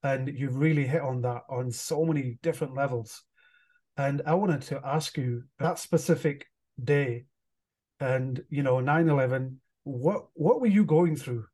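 A man from England hosts a podcast in a mid-pitched voice. He builds his questions slowly, circling through fillers and restarts before he lands on the point. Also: a little mellow. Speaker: podcast host. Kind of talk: casual interview.

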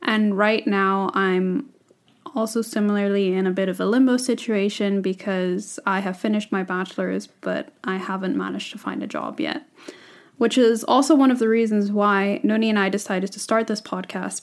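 A woman in her twenties from Hong Kong, co-hosting a podcast in an earnest, casual chat, peppered with "you know" and easy laughs. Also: mid-range voice. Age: 20s. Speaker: podcast co-host.

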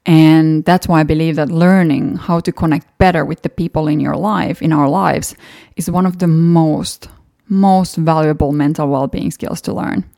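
A woman's voice: sits low, steady tone, normal speed, gravelly, warm tone, no room sound, clean studio sound. A woman with a Finnish accent, a native Finnish speaker, hosting a solo podcast and talking through past episodes in an earnest, encouraging way. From Finland. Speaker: podcast host.

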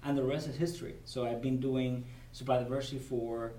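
A man's voice: mid-range voice, steady tone, brisk, warm tone. Cuban-accented man, earnest, distant roomy sound. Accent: Cuban Spanish. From Cuba. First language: Spanish. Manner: earnest, repeats words while gathering thoughts. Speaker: guest, corporate supplier diversity director. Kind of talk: conversational podcast interview. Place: convention venue. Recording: roomy, distant mic with faint noise.

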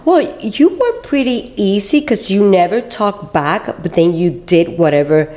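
A woman, chatting as a co-host in a halting, slightly shy way. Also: medium pitch, lively intonation, warm tone. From Puerto Rico. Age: 50s. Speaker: podcast co-host. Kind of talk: casual chat, storytelling.

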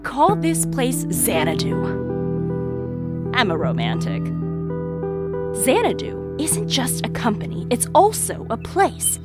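A woman's voice: on the high side, huge pitch swings, slow, pauses often, full character voice. An actress playing a sly, conspiratorial woman storyteller in an audio drama, speaking theatrically and teasingly straight to the listener. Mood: grand, romantic. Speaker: narrator character of an audio drama. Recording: music playing behind the voice.

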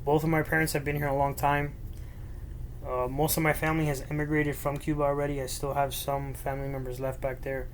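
A young man from Miami, Spanish his first language, talks earnestly and in a rambling way into his laptop in his bedroom. His voice stays fairly level and even in pitch, and a faint hum of room ambience sits behind him. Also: a little fast. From Miami, United States. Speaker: podcast host. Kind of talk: solo personal storytelling monologue. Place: bedroom at home, alone. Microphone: laptop microphone.

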